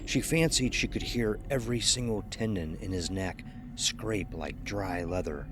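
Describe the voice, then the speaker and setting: A man's voice: on the low side, a little slow, music in the background. A man reading a scripted horror story as its narrator.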